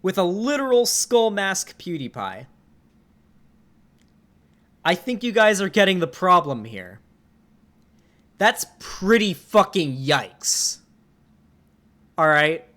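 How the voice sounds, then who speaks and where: A young man in his twenties talks straight into a desk mic during a live stream, his voice sitting a little high for a man. He comments casually and breaks into exasperated, swearing outbursts. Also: slow, long pauses. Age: 20s. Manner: swearing, animated and exasperated, with laughs.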